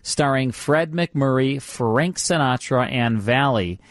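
A man's voice: mid-range voice, slow pace, no echo, clean studio sound. A man in his fifties hosting a radio show casually, with a folksy, joking way of talking. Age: 50s. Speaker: radio host.

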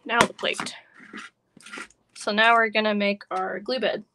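A woman's voice: medium pitch, flat delivery, a little slow, background noise. A woman instructor talking casually and informally through a hands-on craft demonstration. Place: work table indoors, live online stream.

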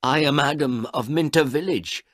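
A man's voice: medium pitch, expressive, normal speed, fairly bright tone, dry recording, clean studio sound, voice pushed up, close to an everyday voice. A man voicing a stubborn, indignant farmer in his seventies, insistent and demanding, in a storybook reading. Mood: defiant. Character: stubborn, indignant seventy-two-year-old male village farmer.

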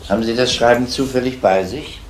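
An actor playing a composed, probing police detective in a crime radio play, questioning politely and methodically. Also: male voice, mid-range voice, a little fast, slight background noise, lightly acted.

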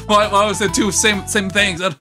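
A man improvising a comedy dub over game cutscenes in a goofy, exaggerated, shouty way. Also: sits high, steady tone, normal speed. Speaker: comedic dubber. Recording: loud music behind.

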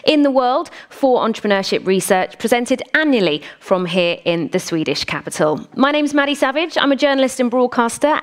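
A woman in her thirties from the UK with a British accent, hosting an award event in an upbeat, welcoming manner. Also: mid-range voice. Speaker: event host, journalist. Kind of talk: live event presenting. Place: conference stage, indoors.

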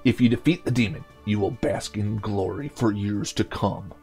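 A man voicing a stern, solemn knight who guards a magic portal, speaking in measured pronouncements in a storybook game. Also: on the low side, a little mellow, soft background music, pitched below the performer's own voice, natural voice.

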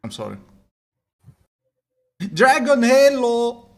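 A man's voice: extremely high pitch, huge pitch swings, takes it slowly, gravelly. A young man from Italy with an Italian accent, chatting and joking as a streamer in a small room at home, often stumbling over his words. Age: young adult. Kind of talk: casual live-stream chat. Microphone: webcam framing, wearing headphones.

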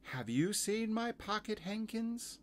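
A man's voice: high voice, expressive, slow, pitched above the performer's own voice. A man voicing a curious little girl in a children's storybook, asking things in a direct, childlike way. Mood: wondering. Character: curious little girl searching for lost handkerchiefs.

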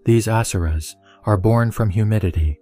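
A man giving a steady, even reading of a Buddhist scripture. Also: lowish voice, normal speed, dry recording. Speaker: sutra reciter. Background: soft background music.

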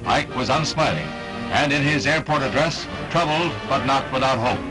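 A man's voice: medium pitch, normal speed, low-quality recording. A man with an American accent narrating a mid-century newsreel in a formal, dramatic announcer style. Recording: old newsreel soundtrack with loud music.

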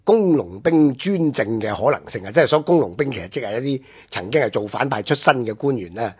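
A man's voice: medium pitch, animated, fast, darkish tone, breathy edge. A man with a Hong Kong Cantonese accent explaining things conversationally, as a commentator on a radio history programme.

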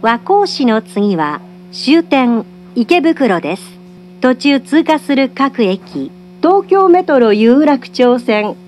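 A woman's voice: high voice, big pitch swings, slow pace, darkish tone, slight background noise. A woman giving formal, polite, carefully enunciated scripted train announcements, recorded from a carriage speaker.

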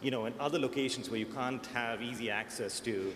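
A man's voice: mid-range voice, a little fast, a bit bright. A man with an accent that is part American and part Indian, speaking thoughtfully on a conference panel and restarting his phrases. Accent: mixed American and Indian. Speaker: search engineer panelist. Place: large conference hall. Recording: echoey conference hall, faint noise.

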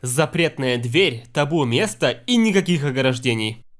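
A man's voice: medium pitch, lively intonation, normal speed, music in the background. A man reading scripted commentary that picks at mistakes in a matter-of-fact way. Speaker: video critic narrating film mistakes.

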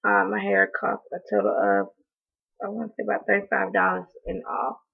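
A young woman with a hoarse, deeply gravelly voice talks through a casual haul video, full of ums and apologies for how she sounds. Her voice is husky and rough, and the thin, phone-like recording comes from a webcam close by in a small room. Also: very animated, fairly quick. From North Carolina. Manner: chatty, apologetic, lots of ums, coughs now and then. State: hoarse from allergies. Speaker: beauty vlogger. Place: small indoor room, in front of a door. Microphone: webcam at close range.

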